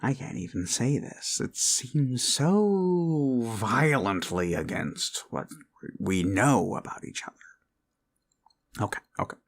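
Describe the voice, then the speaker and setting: Creepy possessive boyfriend, acted by a man for ASMR roleplay: slow, swooping delivery, mock-sincere coaxing that slips into whispers. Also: medium pitch, sing-song, vocal fry, full character voice. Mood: indignant, mocking. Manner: theatrical, coaxing, deliberate with pauses, drifting into whispers.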